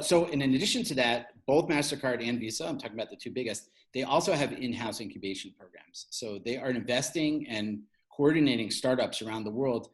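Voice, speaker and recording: Mid-pitched man explaining as interview guest. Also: low-quality recording. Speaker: interview guest, fintech media founder. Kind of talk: conversational explaining.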